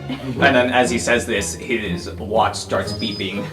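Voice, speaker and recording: A man's voice: on the low side, fairly even intonation, slow. A man narrating a roleplaying game as game master in a conversational, descriptive way. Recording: roomy echo, music under voice. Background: background music.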